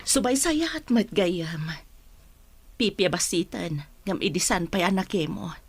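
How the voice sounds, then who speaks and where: Husky, worried elderly mother. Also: on the low side, lively intonation, a little slow, dry recording, exaggerated voice. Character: worried elderly mother grieving over sick child. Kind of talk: Ilocano radio drama acting.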